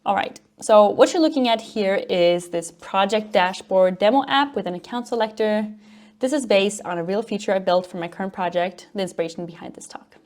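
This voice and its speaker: A woman from Norway speaks English. She presents as a conference speaker, explaining technical material in an informal, upbeat way. Her voice sits at an average pitch for a woman. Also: brisk, a little mellow. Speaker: conference speaker, front-end developer and educator.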